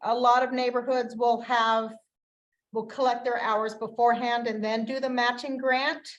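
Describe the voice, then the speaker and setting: Higher-voiced woman explaining city rules. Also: flat delivery, normal speed, lo-fi sound. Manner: explanatory, informative. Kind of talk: community meeting discussion.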